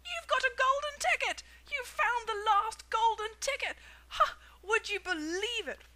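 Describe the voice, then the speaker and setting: A woman voicing a fat, kindly male shopkeeper in a storybook reading, who yells when excited. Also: moderate pace. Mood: ecstatic. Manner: booming excited yelling when thrilled.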